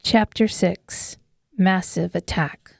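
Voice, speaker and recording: A woman's voice: fairly low pitch, fairly even intonation, slow, vocal fry, darkish tone, no room sound, clean studio sound. A woman reading scripted narration of an apocalyptic horror audiobook. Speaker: author narrating her audiobook.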